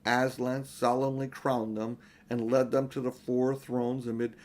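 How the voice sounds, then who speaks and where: An older man reads a children's story aloud in an expressive, unhurried, homely way, with informal asides. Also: medium pitch. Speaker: audiobook reader.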